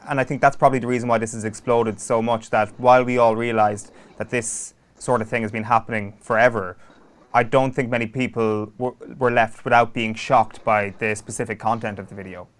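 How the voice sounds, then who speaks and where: A man with an Irish accent asking measured, wordy questions in a serious conversational interview. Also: mid-range voice, steady tone. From Ireland.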